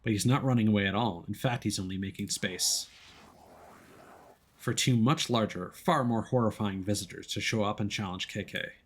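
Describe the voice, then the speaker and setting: A man's voice: lowish voice, normal speed, breathy edge. A man streaming a game, narrating its story in a dramatic, theatrical manner.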